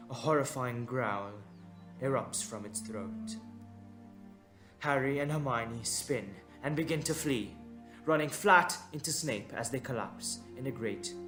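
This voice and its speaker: Slow, suspenseful male audio drama narrator. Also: medium pitch. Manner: measured, suspenseful, with deliberate pauses.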